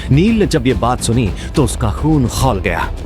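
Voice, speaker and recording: A man's voice: medium pitch, sing-song, measured pace. A man narrating a fantasy audio drama in a dramatic, scripted storytelling style. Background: background music.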